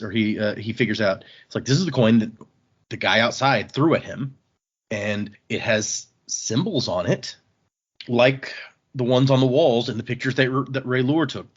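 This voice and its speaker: A man's voice: mid-range voice, husky, highs cut off. A man hosting a casual book podcast, recapping the story conversationally and loosely voicing characters' lines, with some swearing. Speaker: podcast host recapping a novel.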